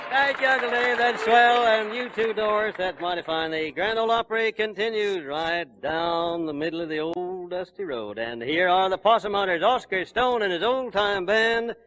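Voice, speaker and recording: A man's voice: high-pitched, normal speed, hardly any pauses, a bit bright, some chatter behind, slight background noise, low-quality recording. A man announcing a live 1930s country music radio show in a folksy, jovial, exclamatory way. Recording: vintage 1939 radio broadcast, music behind.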